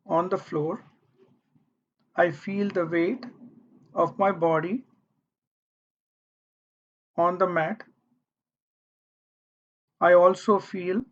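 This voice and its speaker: Very slow meditation guide, muffled recording. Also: mid-range voice. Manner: gentle, deliberate phrasing with long pauses. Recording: dull, muffled lo-fi audio.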